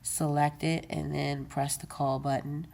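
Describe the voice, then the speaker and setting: A woman with a low voice explains a device step by step. She takes her time and talks off the cuff, with fillers and quick corrections. It sounds like a casual home tutorial. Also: flat delivery, vocal fry, darkish tone. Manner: unscripted, with many fillers and self-corrections. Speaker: tech video presenter. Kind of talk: casual how-to demonstration.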